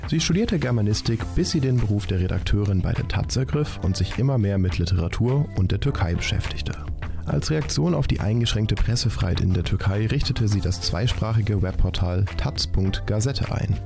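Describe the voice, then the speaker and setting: A man's voice: lowish voice, expressive, a little fast, vocal fry. A man reading a scripted intro and author profile for a radio book podcast. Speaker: podcast announcer. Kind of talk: scripted narration. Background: music bed.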